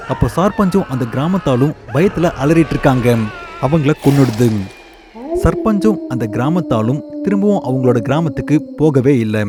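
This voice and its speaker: A man narrating an animated horror story in a steady, plain manner. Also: mid-range voice.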